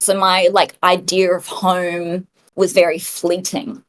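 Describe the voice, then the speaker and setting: A woman who grew up in Australia and New Zealand, a game developer answering interview questions in a warm, reflective way. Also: lowish voice, flat delivery, slow.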